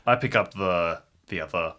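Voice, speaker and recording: A man's voice: deep voice, normal speed, bright, forceful tone. A man playing in an improvised role-playing game, talking casually and acting out his moves.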